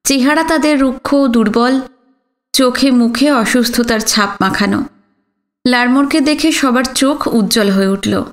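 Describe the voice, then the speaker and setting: A woman's voice: a little high, normal speed. A woman reading literary fiction aloud as a scripted audio story narration.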